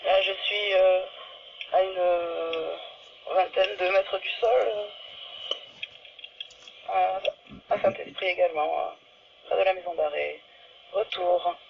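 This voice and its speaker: Creaky-voiced woman on a noisy radio. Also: lowish voice, steady tone. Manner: hesitant, pausing, polite. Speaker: beginner amateur radio operator. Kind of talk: casual radio contact chat. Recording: dull, noisy two-way radio signal.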